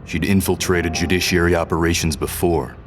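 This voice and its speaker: An actor playing a captive rebel captain in a science fiction audio drama, a man who is guarded, halting and uncertain beneath his defiance. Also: low voice, steady tone, soft background music, close to an everyday voice. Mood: halting, reflective. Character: defiant but confused male rebel captain, held prisoner.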